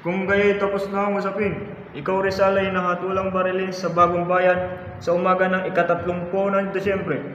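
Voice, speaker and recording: A man's voice: high voice, monotone, normal speed, noisy background, lightly acted. A man playing a stern, formal colonial court judge in an amateur radio drama. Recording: muffled, echoey hall, music behind.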